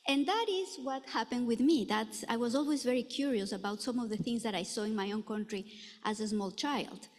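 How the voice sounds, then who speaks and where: A middle-aged woman from Ecuador gives an earnest conference lecture, pausing often on fillers as she thinks. Her voice sits a little high, and the recording carries a long hall reverb. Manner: earnest, many filler pauses. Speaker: anthropology professor giving a talk. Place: lecture room.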